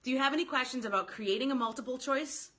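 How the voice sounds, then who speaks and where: A woman giving informal sales coaching on a live stream, talking directly to her viewers in an animated, chatty way. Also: high-pitched, fast. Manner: animated, informal, addresses listeners directly, quotes sample phrases. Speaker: sales coach hosting a live online training.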